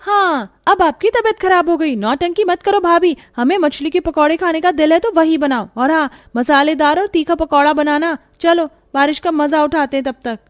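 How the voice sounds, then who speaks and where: A woman's voice: sits high, moderate pace, dry recording, clean studio sound, faint music behind, pitched above the performer's own voice, natural voice. A woman voicing five greedy, bossy young sisters-in-law who keep demanding food. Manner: bossy, whiny, loud.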